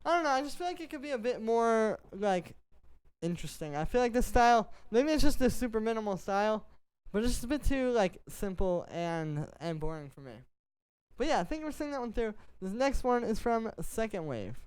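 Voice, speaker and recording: A man's voice: high voice, sing-song, fairly quick, clean tone, no echo. A man hosting a livestream, giving laid-back, slangy and frank feedback in a casual chat style. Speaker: music producer hosting a livestream. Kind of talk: casual live feedback chat, reading messages aloud. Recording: electronic music playing behind the voice. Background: electronic music.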